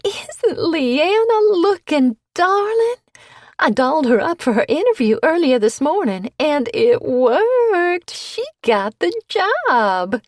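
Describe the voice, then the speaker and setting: A woman's voice: sits high, big pitch swings, takes it slowly, no echo, clean studio sound, pitched above the performer's own voice, full character voice. A woman reading an audiobook, voicing a gushing society matron with a sugary drawl.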